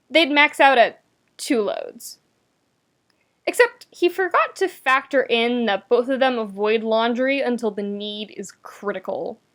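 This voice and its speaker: A woman reading a story aloud as narrator, with expressive, wry delivery and dramatic emphasis. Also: on the high side. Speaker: podfic narrator. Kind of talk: expressive scripted fiction narration.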